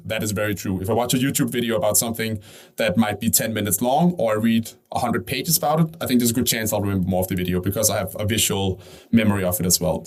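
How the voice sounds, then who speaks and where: Fast-talking man from Denmark. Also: fairly low pitch. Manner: fast, thinking aloud with frequent "right?" and restarts. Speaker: company CEO guest. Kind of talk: conversational interview answers.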